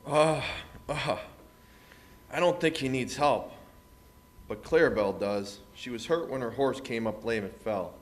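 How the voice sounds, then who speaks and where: A man's voice: mid-range voice, measured pace, natural voice. A man playing a wounded, practical frontier marshal in an old-time Western radio drama, matter-of-fact in manner. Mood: concerned, urgent.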